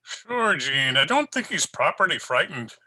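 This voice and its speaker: A man's voice: on the high side, very animated, unhurried, pushed and bright, heavily acted. A man playing a blustery, teasing older police sergeant with folksy, bossy talk in an old-time radio drama. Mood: teasing, cautioning.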